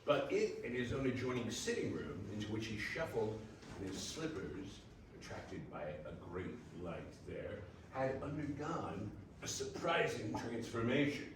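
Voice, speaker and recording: A man narrating a scripted story for readers theater in a dramatic, expressive way. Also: mid-range voice, measured pace. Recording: very echoey distant room, faint noise.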